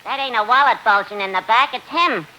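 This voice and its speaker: An actress playing a brassy, nagging housewife, griping in blunt wisecracks in radio comedy. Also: female voice, on the high side, a little fast, muffled recording, lightly acted. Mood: scornful griping. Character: brassy nagging working-class housewife griping about her husband. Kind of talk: 1940s radio comedy acting. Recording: noisy old 1940s radio recording.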